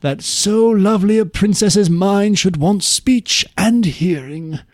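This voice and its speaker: A man performs a blustery old storybook king full of grief. The voice is pushed high and stretches words out slowly in theatrical complaint, in a dry, close recording. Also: darkish tone, no room sound. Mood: downcast lament. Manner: plaintive, theatrical, drawn-out complaining. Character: grieving, blustery old king with failing eyes. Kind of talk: folk tale character voice.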